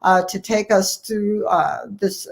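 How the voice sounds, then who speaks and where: A woman's voice: medium pitch, steady tone, slow pace, vocal fry. An American woman physician answering as an interview guest, earnest and emphatic, repeating key phrases for stress. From United States, Ohio. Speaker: guest, family physician and medical association president-elect.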